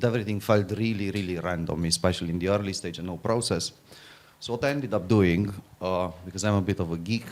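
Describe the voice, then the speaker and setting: A middle-aged man from Romania, giving an informal conference talk, often restarting and repeating words. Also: deep voice. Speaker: conference speaker, fund founder.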